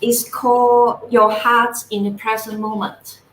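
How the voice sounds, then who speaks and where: A woman from Hong Kong with a Cantonese accent, giving a guest talk in an online panel and explaining things slowly and gently. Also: on the high side, little pitch movement, echoey room, a crowd in the background, low-quality recording. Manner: slow, gentle explaining with soft laughs. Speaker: guest speaker.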